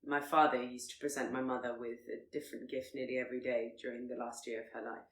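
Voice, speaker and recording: A woman voicing a proud, reserved and brooding Regency gentleman who speaks formally and gravely. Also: very deep voice, flat delivery, fast talker, reverberant, pitched below the performer's own voice, low-quality recording. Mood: pained, reflective.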